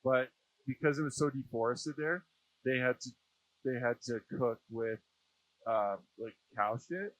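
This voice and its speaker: A man's voice: medium pitch, a little slow, fairly bright tone, poor audio. A Canadian man chatting casually as an interview guest, informal and slangy. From Saskatchewan, Canada. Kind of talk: casual chat. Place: small aircraft cockpit.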